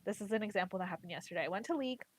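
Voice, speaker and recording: A woman recovering from a cold talks in a congested, slightly irritated voice at a middling pitch. She chats loosely and freely, filling her talk with "like" and "literally". The sound is dry and close, with no room around her. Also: animated, rapid-fire, dry recording. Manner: chatty, heavy use of "like" and "literally". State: congested, getting over a cold. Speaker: trading card game player and content creator. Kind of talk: casual chat.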